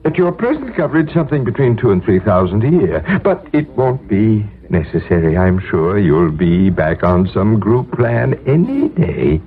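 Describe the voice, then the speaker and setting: A polite insurance agent in a 1970s radio drama. He placates with lively rises and dips and slight hesitations, on a thin, phone-like old recording. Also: fairly low pitch, normal speed, hardly any pauses. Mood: placating, businesslike. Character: polite, evasively reassuring male insurance agent.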